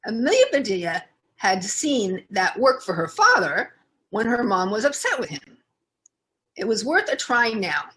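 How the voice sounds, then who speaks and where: Lady reading a kids storybook out loud, very animated, voice goes up and down a lot. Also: medium pitch, rough recording. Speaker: children's storyteller.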